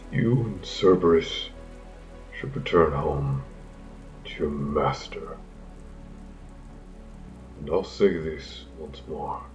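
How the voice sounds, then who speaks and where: An actor playing a solemn, embittered god of the seasons who mourns his daughter, in measured dramatic delivery. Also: very deep voice, dull audio. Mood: grave, resigned. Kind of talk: dramatic audio drama acting.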